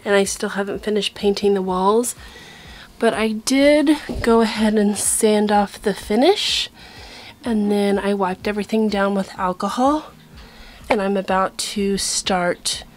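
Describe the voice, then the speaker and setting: A woman's voice: medium pitch, a little mellow. A young woman narrating a casual home vlog, chatting as she shows what she is working on around the house. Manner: casual, chatty, often drops to a late-night whisper. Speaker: home vlogger. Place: home, indoors, at night. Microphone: handheld phone camera.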